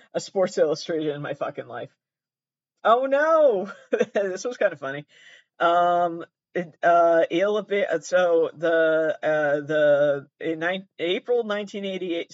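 A man's voice: fairly high pitch, no echo, dull audio. A man hosting comedic commentary on pranks, rambling, swearing and joking at his own expense. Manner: rambling, swearing, self-deprecating.

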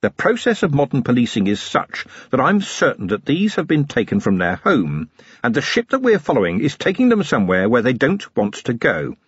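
A man voicing a gruff, weary middle-aged police commander who speaks bluntly and doggedly. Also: medium pitch, huge pitch swings, fairly quick, dry recording, dull audio. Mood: determined.

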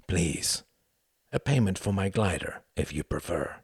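A man plays a German count from an audiobook story. He is commanding and devious, with a theatrical, falsely hearty delivery. His pitch swings widely and the sound is dry and close. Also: lively intonation, measured pace, breathy edge, no room sound, heavily acted. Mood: insistent, urging.

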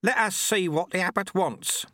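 A man voicing a cheerful, amiable little old monk sweeper in an audiobook, wryly modest, with a breezy, gently teasing manner. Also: high-pitched, normal speed, no room sound, studio quality, voice pushed up.

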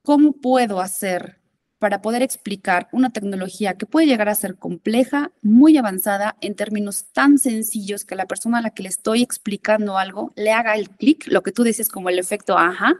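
A woman's voice: mid-range voice, moderate pace, dry recording. Woman musing with many fillers. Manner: thoughtful, many fillers and restarts, switches into English terms. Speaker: interview guest, innovation consultant. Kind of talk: conversational podcast interview.

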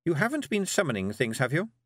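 A man voicing a stern, suspicious woman witch like a schoolmistress, brisk, prim and commanding, in a storybook reading. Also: on the high side, big pitch swings, fairly bright tone, slightly rough, no room sound, studio quality, pitched above the performer's own voice, exaggerated voice.

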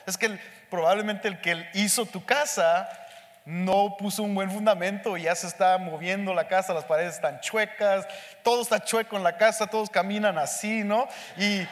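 Hombre de voz aguda, predicando en una sala con eco. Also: male voice, high voice, steady tone. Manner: speaks slowly and deliberately, mixing in English words. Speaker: pastor teaching a Bible study. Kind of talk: sermon teaching. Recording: echoey hall with long reverb.

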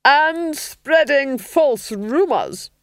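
A woman voicing an imperious, sadistic duchess in a storybook, haughty, drawn-out and menacing. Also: high-pitched, expressive, no room sound, studio quality, pitched above the performer's own voice, heavily acted. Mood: cold interrogation.

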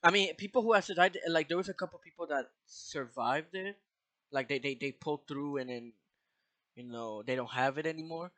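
A young man in his twenties chats on his podcast with a bright, forceful voice that sits a little high for a man. He talks loosely, swears freely and laughs easily. The sound is close and dry, with no room around it. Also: fairly quick, no echo. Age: 20s. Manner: profane, animated, laughs often. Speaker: podcast host. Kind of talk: casual chat.

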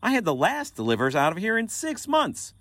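A man performs a hundred-year-old male ghost in a comedy audio play. He is needy and easily affronted, with a whiny, theatrical delivery. The pitch leaps about wildly, and the sound is very dry. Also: wildly swooping pitch, fairly quick, fairly bright tone, no room sound, exaggerated voice. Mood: wounded, indignant. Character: needy, indignant century-old male ghost named Daryl.

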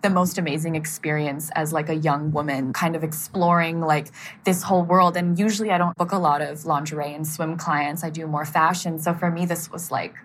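Chatty young woman, American accent, lowish voice. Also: a bit bright, slight background noise. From Germany. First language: German. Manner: chatty, laughs often, many fillers like "like". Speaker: guest, model. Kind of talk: casual podcast interview.

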